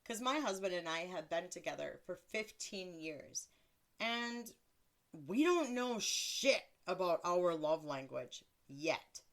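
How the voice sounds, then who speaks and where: A woman in her thirties with a deeper voice, hosting a casual solo podcast in a blunt, crude, self-mocking way and laughing often. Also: expressive, slow. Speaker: podcast host.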